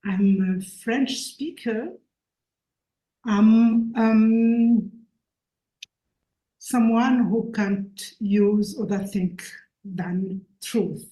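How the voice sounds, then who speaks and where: Slow, mellow-voiced Rwandan woman speaker. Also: mid-range voice. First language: Kinyarwanda. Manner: earnest, searching for English words. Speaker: invited speaker. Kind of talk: halting public talk. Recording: echoey room.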